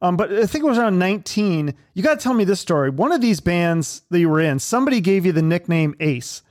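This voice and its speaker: A man hosting a casual interview, prompting his guest with relaxed questions. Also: high voice, quick pace, no echo, clean studio sound. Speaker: podcast host.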